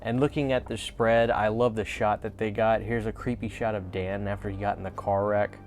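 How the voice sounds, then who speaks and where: A man's voice: on the low side, fairly even intonation, normal speed. A man hosting a fan video, talking casually and enthusiastically in an informal vlog style. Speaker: host of a horror fan video channel.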